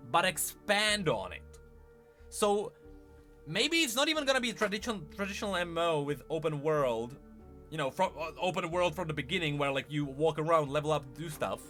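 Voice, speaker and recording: A man's voice: on the high side, bright, forceful tone. A man giving casual live-stream commentary on gaming news, thinking aloud with rhetorical questions and emphatic repeats. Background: background music.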